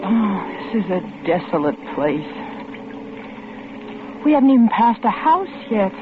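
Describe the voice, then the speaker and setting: An actress playing a nervous, fretful wife riding along on a dark road, anxious and insistent, in an old-time radio drama. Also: female voice, medium pitch, very animated, unhurried. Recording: muffled, very noisy old radio recording.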